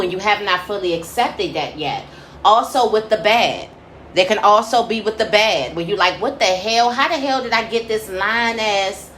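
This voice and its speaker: A woman's voice: mid-range voice, a bit bright. A woman tarot reader speaking straight to her listeners in an informal, slangy spiritual advice monologue, often checking in with short tag questions. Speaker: tarot reader giving a reading for Libra. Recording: roomy echo with faint background noise.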